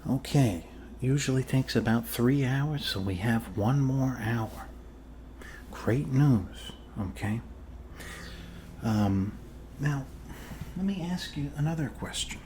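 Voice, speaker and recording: A man doing an improvised ASMR role-play as a calm, quirky doctor, speaking haltingly and reassuringly to a patient. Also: medium pitch, slight background noise. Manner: halting, reassuring, trailing off with fillers and pauses. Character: calm, quirky male doctor treating a zombie bite.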